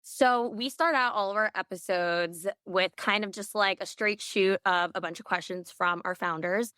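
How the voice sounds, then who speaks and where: A woman's voice: mid-range voice, moderate pace, bright, forceful tone, clean tone, no echo, clean studio sound. A woman hosting a podcast, chatting in a bubbly way with long run-on thoughts. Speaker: podcast host. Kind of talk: casual chat interview.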